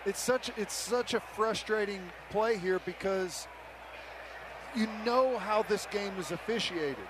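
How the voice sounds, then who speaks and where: A man's voice: high voice, measured pace. An American man giving conversational football analysis as a radio color commentator, repeating words for emphasis. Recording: very noisy stadium radio broadcast. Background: loud stadium ambience.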